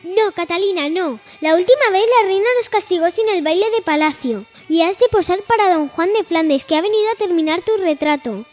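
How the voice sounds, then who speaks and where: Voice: extremely high pitch, a little fast, few pauses, a little mellow, faint music behind. A performer playing a cautious, dutiful young princess, an anxious sister, in a radionovela-style radio drama. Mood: worried, cautioning.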